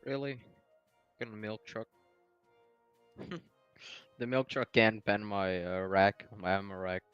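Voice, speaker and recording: Casting note: low-voiced man from Latvia, blunt gamer banter, faint music under it. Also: slow pace, clear, resonant tone, no echo, dull audio. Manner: blunt, swears when annoyed. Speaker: co-player. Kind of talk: casual gaming chat.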